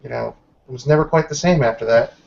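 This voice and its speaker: A man's voice: medium pitch, quick pace, vocal fry, darkish tone, muffled recording. A man with a hesitant, wry way of talking, improvising and narrating in a roleplaying game. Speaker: player in an online roleplaying session.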